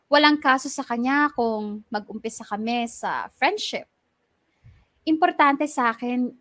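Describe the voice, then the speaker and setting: A high-pitched young Filipino woman tells her own story in a radio drama. The recording is dry and close, and her scripted first-person narration is earnest and reflective. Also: a bit bright, no echo.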